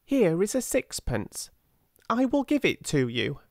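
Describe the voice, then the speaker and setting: A man's voice: high-pitched, wildly swooping pitch, a little slow, no echo, clean studio sound, voice pushed up, heavily acted. A British man voicing a kindly, stubborn little rich boy in a storybook, earnest and simply spoken. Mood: benign, generous. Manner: benevolent, insistent, simply worded.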